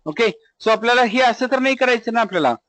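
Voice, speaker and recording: Casting: male teacher with a high-pitched Indian-accented voice, walking students through code, questioning and repeating. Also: lively intonation, fast talker, a bit bright. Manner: explanatory, checks back with questions, repeats himself. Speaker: programming teacher. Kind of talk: live classroom lesson with screen demonstration.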